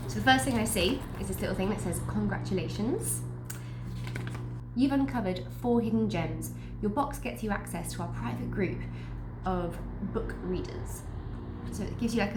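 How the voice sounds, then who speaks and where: Female book vlogger, nasal from a cold, chatty and upbeat, mid pitch, roomy echo. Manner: chatty, upbeat, restarts sentences. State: stuffy nose from a cold. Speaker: book vlogger unboxing a subscription box. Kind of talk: casual unboxing chat with read-aloud blurbs. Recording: echoey room with faint noise.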